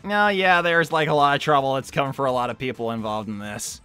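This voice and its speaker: A man voicing a brash young cartoon hedgehog hero, flustered and defensive, talking casually and haltingly in character. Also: medium pitch, bright, forceful tone, clear, resonant tone, no room sound, soft background music, lightly acted. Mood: resigned. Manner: halting, casual teenage slacker phrasing. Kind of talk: improvised in-character livestream Q&A.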